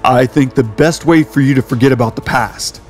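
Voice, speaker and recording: A man's voice: medium pitch, normal speed, darkish tone, close to an everyday voice. An actor playing a devoted, protective man in a romance audio drama, serious and reassuring. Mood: tender, reassuring. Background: background music.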